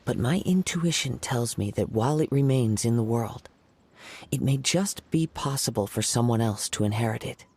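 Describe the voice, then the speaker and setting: A man voicing a calm young male wandering poet in a game cutscene, soft-spoken and measured. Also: medium pitch, slight background noise, close to an everyday voice. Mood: thoughtful.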